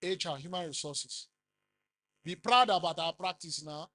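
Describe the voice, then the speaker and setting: Lively Nigerian man giving a talk. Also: a little high, unhurried. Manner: lively, rises loudly for emphasis, quizzes the audience. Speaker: conference speaker. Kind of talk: business seminar talk.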